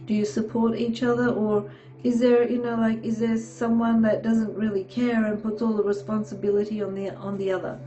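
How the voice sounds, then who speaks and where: A woman giving a tarot reading, hoarse from a sore throat, talking haltingly with many fillers and frequent "okay"s. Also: fairly high pitch, flat delivery, warm tone, dull audio. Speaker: tarot reader.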